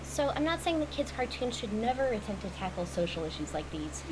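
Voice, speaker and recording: A woman's voice: a little high, very animated, fairly quick. Woman's analytical commentary over noisy audio. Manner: articulate, analytical, opinionated. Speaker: video essay commentator reviewing a cartoon. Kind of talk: scripted critical commentary. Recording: clearly noisy audio with hum.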